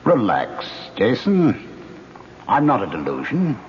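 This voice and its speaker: An actor playing the calm, measured ghost of a dead man in a radio drama, on a dull old radio recording. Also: mid-range voice, a little hiss or hum. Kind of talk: 1970s radio drama acting.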